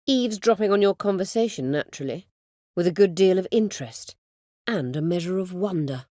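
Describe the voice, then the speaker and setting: A woman acts out a proud, vengeful sorceress from a fantasy video game. Her voice sits fairly low and swings widely in pitch, recorded close and dry. She speaks in haughty, formal phrases edged with sardonic bite. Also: animated, warm tone, no room sound, lightly acted. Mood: measured, persuasive. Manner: haughty, formal, measured, biting sarcasm. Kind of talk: video game character dialogue.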